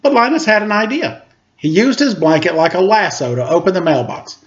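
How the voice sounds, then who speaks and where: Animated male storyteller, fairly high voice. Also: a little fast. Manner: playful, expressive. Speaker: storyteller reading to children. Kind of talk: animated children's story reading with casual asides. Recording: slightly dull, lo-fi audio.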